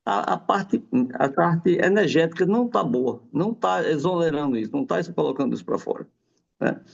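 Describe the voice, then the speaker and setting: A man's voice: a little high, lively intonation, moderate pace, creaky. A man explaining a health topic in a casual, rambling chat, often adding a questioning "right?". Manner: rambling, many tag questions. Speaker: guest explaining homeopathy. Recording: dull lo-fi sound.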